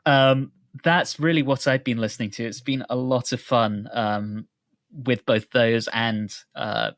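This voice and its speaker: A man's voice: medium pitch, steady tone, a little slow, a bit bright, no echo, clean studio sound. A man co-hosting a podcast in a casual, chatty style. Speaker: podcast co-host.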